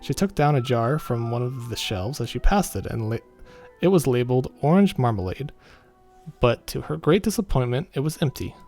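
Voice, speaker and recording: A man's voice: medium pitch, expressive, moderate pace, darkish tone. A man reading a visual novel aloud in an informal way, stumbling over the text and rereading, with casual commentary. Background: background music.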